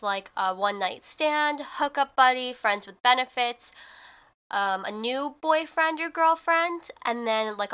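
A woman's voice: on the high side, sharp tone, clean tone. A young woman hosting her podcast in casual chat, congested and a little nasal, talking in a chatty way with lots of "like". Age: young adult. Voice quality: more nasal than usual. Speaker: podcast host.

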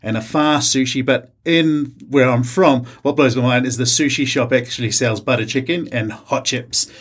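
A man in his forties from New Zealand with a New Zealand accent, a chef talking casually in an interview, pausing often with fillers and restarts. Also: mid-range voice, husky. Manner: hesitant, many uh fillers and restarts.

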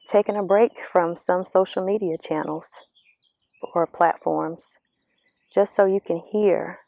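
Slow-speaking woman with a gravelly, low, mellow voice. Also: no room sound. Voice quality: slightly raspy. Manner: slow, earnest, coaching, asks the listener questions. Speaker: vlogger giving spiritual encouragement. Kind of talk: reflective motivational monologue. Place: outdoors among birds. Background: birdsong.

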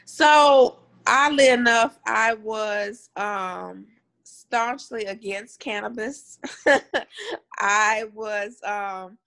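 A woman from north Louisiana, a guest on a remote call, answering interview questions in a soft, measured way with pauses and fillers. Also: medium pitch, vocal fry, rough recording. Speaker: guest, cannabis advocate and educator.

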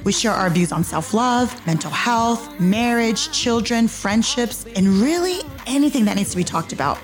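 A woman's voice: on the high side, expressive, normal speed, soft background music. A woman born in Puerto Rico hosting a solo podcast, chatting in a rambling way with many ums and restarts. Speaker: podcast host. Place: home in Georgia, USA.

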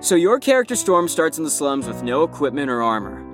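High, lively, sardonic young man, acted. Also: fairly quick, over music. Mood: flippant. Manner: casual, sardonic, theatrical. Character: flippant, sarcastic young male patient narrating game guide. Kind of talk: mock video game guide in audio drama.

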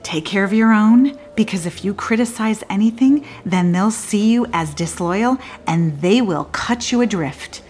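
An actress as a slow, bitter, disillusioned woman lawyer. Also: medium pitch, animated, darkish tone, husky. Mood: weary, resigned. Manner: halting, confiding, wry, with abrupt swings. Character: bitter, disillusioned woman lawyer confiding about her firm. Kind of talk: theatrical monologue.

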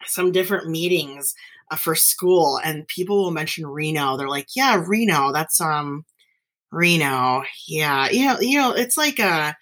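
A woman from northern Nevada hosting her own podcast, chatting casually and warmly about her life, with frequent laughs, fillers and restarts. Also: low voice, expressive, a little slow. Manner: chatty, giggly, frequent fillers and self-corrections. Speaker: podcast host, teacher. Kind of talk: casual solo podcast chat.

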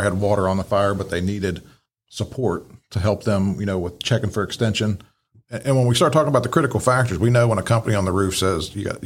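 A man giving casual, explanatory commentary as a fire service instructor, talking in loose, meandering sentences. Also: fairly low pitch. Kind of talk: casual analytical commentary on fireground radio traffic.

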